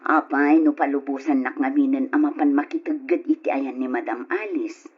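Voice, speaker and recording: A woman's voice: a bass voice, steady tone, moderate pace, slightly rough. A woman playing a caring, practical mother and long-suffering wife in a poor family, in an old Ilocano radio drama. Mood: concerned, coaxing. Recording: muffled lo-fi radio recording.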